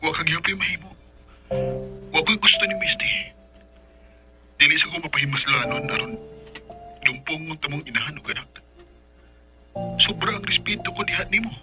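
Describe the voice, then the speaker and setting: An actor with a Filipino accent playing a devoted, respectful suitor in a radio melodrama, speaking earnestly. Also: male voice, on the high side, normal speed, pauses often, lightly acted, rough recording. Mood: earnest longing. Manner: earnest, declaring. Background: music.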